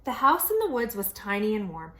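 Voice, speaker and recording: Lady doing storytime for kids, expressive read aloud, dramatic pauses. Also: mid-range voice, slight background noise. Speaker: children's storybook reader.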